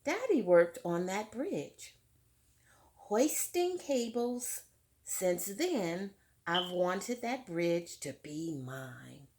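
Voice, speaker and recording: A woman's voice: on the low side, big pitch swings, very slow, darkish tone, exaggerated voice. A woman reading as a dreamy eight-year-old girl. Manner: proud, dreamy first-person storytelling. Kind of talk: reading a picture book aloud.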